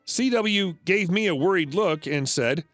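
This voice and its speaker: High-voiced man reading stories aloud. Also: lively intonation, unhurried, fairly bright tone, no room sound, faint music behind. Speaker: narrator of reddit stories. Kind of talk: scripted narration.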